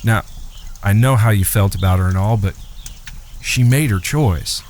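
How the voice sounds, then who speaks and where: A man's voice: lowish voice, moderate pace, darkish tone, slight background noise, lightly acted. An American man playing a level-headed, dryly teasing cowboy brother in a Western audio drama. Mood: calm, probing. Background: faint crickets.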